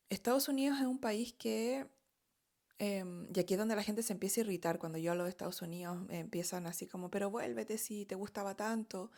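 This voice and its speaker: A woman's voice: medium pitch, a little mellow. Chilean lady vlogging her story, lots of ums and ok's, just talking to camera. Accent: Chilean Spanish. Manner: chatty and candid, frequent fillers and "¿ok?" checks, laughs often. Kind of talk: casual storytime monologue.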